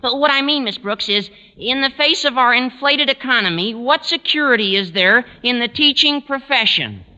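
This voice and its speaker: An actor playing an earnest, eager high school boy with a squawky adolescent delivery in a 1940s radio comedy. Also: expressive, moderate pace, hardly any pauses, pushed and bright. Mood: earnest, formal. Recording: dull, noisy, reverberant 1940s radio recording.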